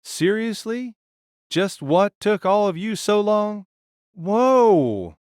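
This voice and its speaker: A majestic warrior king, acted out by a male reader, booms with impatience in a fantasy audiobook. The voice rides high and slow, in a very dry recording. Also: very animated, a little mellow, smooth, no echo, heavily acted. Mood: impatient, loud.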